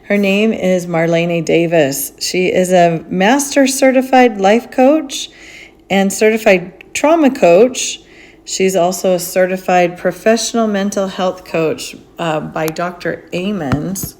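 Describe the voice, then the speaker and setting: A woman hosting a casual podcast, chatty and upbeat, with lively emphasis as she interviews a guest. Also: on the low side, takes it slowly. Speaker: podcast host. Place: indoors on a road trip in Utah mountains.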